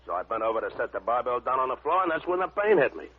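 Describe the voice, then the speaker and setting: A brash American gym-loving lug in a vintage radio play. His talk is blunt and slangy in a rough, husky voice, heard through a tinny recording. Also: medium pitch, sing-song, racing. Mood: earnest complaining. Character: brash muscle-bound gym enthusiast, cheerful male sidekick. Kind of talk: old-time radio comedy-drama acting.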